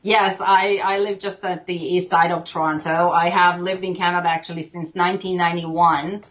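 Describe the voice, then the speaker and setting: A woman's voice: on the low side, little pitch movement, normal speed, fairly bright tone. Hungarian-born woman on a dull call line. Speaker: podcast guest. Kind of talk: casual interview chat.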